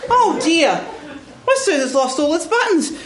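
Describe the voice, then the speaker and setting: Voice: high-pitched, very animated, a little slow. An actor playing a timid, polite, wistful little man in a live radio play. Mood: meek. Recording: echoey room, clear background noise.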